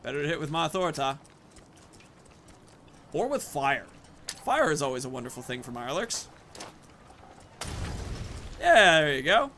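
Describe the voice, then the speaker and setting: A man's voice: fairly high pitch, sing-song, moderate pace, long pauses, bright, forceful tone, slight background noise. A man giving casual let's play commentary as a gaming host, rambling and thinking aloud.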